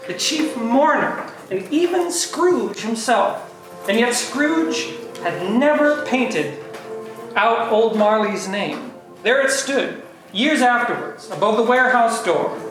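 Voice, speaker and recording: A man acting as the theatrical narrator of a comic Christmas tale in an amateur read, stressing words dramatically. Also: high-pitched. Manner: dramatic, drawn-out emphasis. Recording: cavernous echo, distant, music behind.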